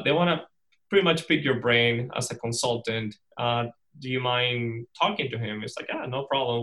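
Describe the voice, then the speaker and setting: A man's voice: mid-range voice, sharp tone, poor audio. A middle-aged man from Venezuela, a guest speaker telling the story of his career to an audience. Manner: storytelling, with restarts and laughs. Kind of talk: personal story talk to an audience.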